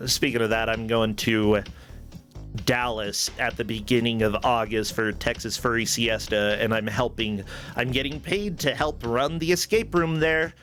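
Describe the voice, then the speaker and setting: A man's voice: medium pitch, fairly even intonation, normal speed, a bit bright. A man with a mid-range voice hosts a casual livestream. He talks informally and effusively to viewers and guests. Faint music plays behind him. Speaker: livestream show host. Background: background music.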